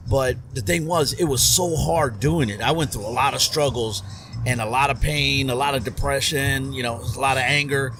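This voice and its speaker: A man's voice: mid-range voice, normal speed, over music. Earnest middle-aged veteran man. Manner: earnest, rambling with fillers. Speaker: guest, Army veteran. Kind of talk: casual podcast conversation. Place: covered patio with string lights at night.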